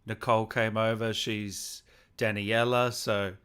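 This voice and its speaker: A male host with a bright, forceful voice runs a casual interview and reads viewer comments aloud. He keeps his own turns short, with quick interjections. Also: fairly low pitch, level tone, smooth.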